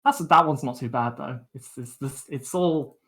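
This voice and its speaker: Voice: mid-range voice, normal speed, fairly bright tone. A young adult chatting casually as co-host of a live stream. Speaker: co-host of a student gaming charity-style stream.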